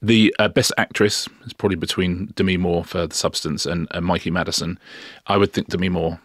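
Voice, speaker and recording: A man co-hosting a podcast, giving relaxed opinions in casual chat. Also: low voice, fairly quick, dry recording, clean studio sound. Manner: relaxed, agreeable, weighs opinions with hedges. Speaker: podcast co-host.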